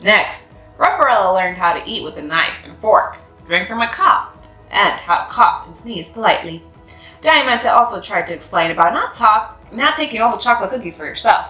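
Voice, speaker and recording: Expressive woman reading a storybook aloud. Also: medium pitch, moderate pace. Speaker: children's book reader. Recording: echoey room with faint music.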